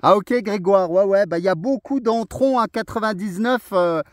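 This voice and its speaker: High-pitched Frenchman chatting on radio. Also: normal speed, very noisy. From France. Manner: chatty, friendly, tag words at phrase ends. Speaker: amateur radio operator. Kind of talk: casual radio contact chat.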